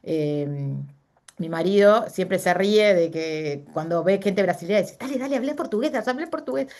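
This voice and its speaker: A woman's voice: fairly low pitch, lively intonation, moderate pace, a little mellow. A middle-aged woman with a Uruguayan Spanish accent, speaking as a guest in a casual interview and telling personal stories with frequent fillers and self-corrections.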